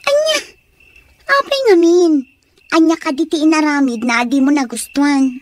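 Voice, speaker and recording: A woman's voice: sits high, normal speed, natural voice. A woman playing a sensible, concerned friend giving counsel in an Ilocano radio drama, with an Ilocano accent.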